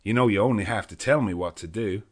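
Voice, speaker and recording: A man's voice: fairly low pitch, fast talker, pitched above the performer's own voice, close to an everyday voice. A man voicing a brave, frank young American man who speaks heartily and directly. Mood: earnest.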